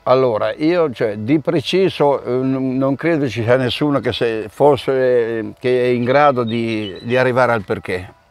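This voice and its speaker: A man's voice: mid-range voice, measured pace. A middle-aged man, the event organizer, explaining at length in an outdoor interview with frequent restarts. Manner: hesitant, restarts often, storytelling. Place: outdoor park by a car park.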